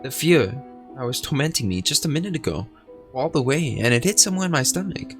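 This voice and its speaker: A man's voice: medium pitch, animated, fast, fairly bright tone, faint music behind. A young man playing a horror visual novel, reading the narration aloud with suspenseful emphasis. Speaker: gaming video creator.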